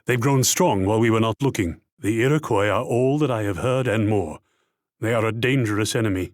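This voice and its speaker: A pompous, shrewd military leader from a strategy game, acted by a man. His medium-pitched voice rises and falls theatrically as he talks down to his listener like a condescending mentor and rival. The sound is dry, with no room around it. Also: no echo, close to an everyday voice. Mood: grave concern. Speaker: game character voice actor. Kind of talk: scripted strategy game character lines.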